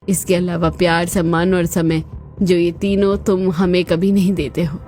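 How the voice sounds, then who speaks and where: A woman's voice: on the low side, flat delivery, moderate pace, mellow, a little hiss or hum, lightly acted. A woman voicing a stern older mother-in-law in a cartoon family drama, with emphatic, scolding delivery. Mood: hurt, indignant. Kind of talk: Hindi cartoon moral-story voice acting.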